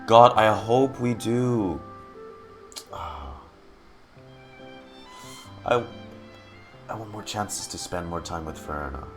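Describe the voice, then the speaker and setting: A man doing casual, expressive live game commentary, informally muttering to himself with a creaky voice. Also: lowish voice, slow, long pauses. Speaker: streamer reacting to a game. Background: game music.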